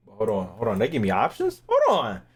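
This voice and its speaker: Animated young man streaming, slangy and profane. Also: fairly low pitch, a little fast, breathy edge. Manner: profane, slangy, playful ranting. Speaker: game streamer. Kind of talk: casual livestream commentary.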